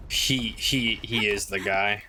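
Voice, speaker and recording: A man's voice: lowish voice, fairly even intonation, takes it slowly, noisy background. A man casually commentating on a live game stream in a wry, joking way.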